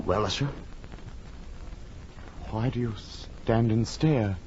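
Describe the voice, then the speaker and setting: An actor playing an earnest, uneasy gentleman friend who narrates a gothic horror tale, grave and theatrical in 1940s radio style. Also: on the low side, takes it slowly, slight background noise. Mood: puzzled. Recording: muffled 1940s radio recording with music.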